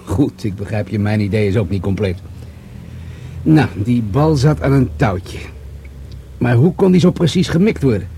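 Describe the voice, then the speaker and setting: Dry, mellow police commissioner, played by a man. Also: mid-range voice, creaky, a little hiss or hum, natural voice. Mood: musing, wry. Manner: deliberate, dry, pondering with hums. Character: senior male police commissioner, wry, fatherly and methodical. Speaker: actor in a radio play. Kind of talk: Dutch radio drama dialogue.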